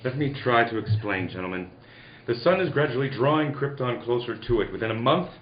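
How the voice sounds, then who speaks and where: A man's voice: medium pitch, expressive, brisk, close to an everyday voice. Man acting a solemn alien doom prophet, sweeping delivery. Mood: grave warning. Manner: declamatory, solemn, stumbling over the script. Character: grave male alien scientist, pompous prophet of planetary doom. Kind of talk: 1940s radio serial acting. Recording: roomy, muffled, some background noise.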